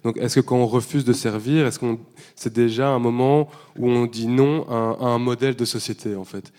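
A man's voice: mid-range voice, fairly even intonation, moderate pace, mellow. A man moderating a panel discussion, restarting and rephrasing as he puts questions to guests. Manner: rephrasing while asking questions. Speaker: host, moderator.

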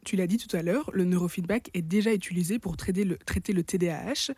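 A woman presents as a podcast co-host in a soft, mellow tone. Her delivery is scripted and articulate, leaning on rhetorical questions. The sound is dry, with no room around the voice. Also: lowish voice, fast, slightly rough, dry recording.

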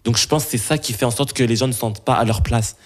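A man's voice: mid-range voice, fast. A young man from Belgium answering interview questions, hesitant, with many restarts and fillers.

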